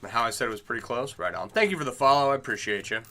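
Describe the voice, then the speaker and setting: A man's voice: medium pitch, expressive, rapid-fire, pushed and bright. A middle-aged man chatting casually on a livestream while he works on chainmail, greeting and answering his viewers. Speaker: chainmail maker streaming live.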